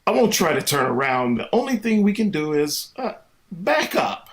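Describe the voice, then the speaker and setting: A man's voice: a little high, very animated, moderate pace, noisy background. A man acting a reassuring, hesitant middle-aged father in a 1950s-style family radio comedy. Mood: flustered, hesitant. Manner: hesitant, folksy, soothing. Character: cheerful reassuring middle-aged father, flustered but soldiering on.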